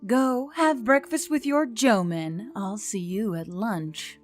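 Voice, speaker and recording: A man puts on the high voice of a devoted, tender woman in an audiobook story. Faint music sits underneath. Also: slow. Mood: groggy, affectionate.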